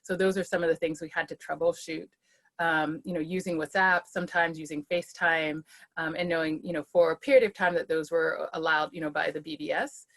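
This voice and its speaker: A woman with a slightly low voice gives an earnest account on a webinar video call. She speaks steadily as a behavioral health leader and fills her pauses with ums and small restarts. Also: steady tone, fairly bright tone. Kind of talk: webinar panel talk.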